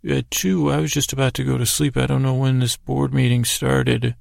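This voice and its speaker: A man with a creaky, gravelly voice hosting a bedtime podcast, telling a meandering monologue with halting, stammered, repeated words. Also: medium pitch, little pitch movement, darkish tone, dry recording, studio quality. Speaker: podcast host. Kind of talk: rambling bedtime sleep-story monologue.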